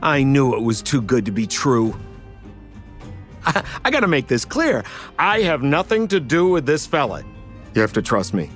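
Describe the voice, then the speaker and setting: An actor playing a slippery, self-serving merchant rogue in a video game, theatrical and ingratiating. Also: male voice, medium pitch, big pitch swings, moderate pace, pauses often, a bit bright, faint music behind. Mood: nervous pleading.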